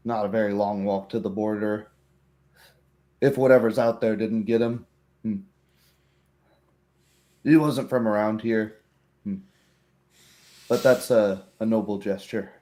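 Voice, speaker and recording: A man's voice: lowish voice, fairly even intonation, unhurried, pauses often, mellow, lightly acted. A man voicing a muttering old townsman, rambling and resigned, in a tabletop roleplay. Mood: resigned musing.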